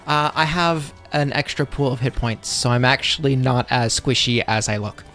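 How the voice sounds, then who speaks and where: A man's voice: medium pitch, fairly even intonation, moderate pace, sharp tone, faint music behind. A man talking casually as a player in a tabletop game.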